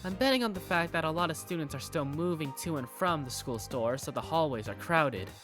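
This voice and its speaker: A man voicing a curious, self-doubting high school student who narrates a visual novel fandub in the first person. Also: lively intonation, fairly quick, music in the background, lightly acted. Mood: curious, uneasy.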